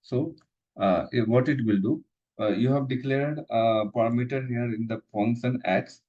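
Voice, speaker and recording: A man walks through code in a lesson, halting and repeating himself with frequent fillers. His voice sits at an ordinary middle pitch. The sound is slightly dull and lo-fi, like a screen recording. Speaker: instructor. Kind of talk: live coding lesson walkthrough.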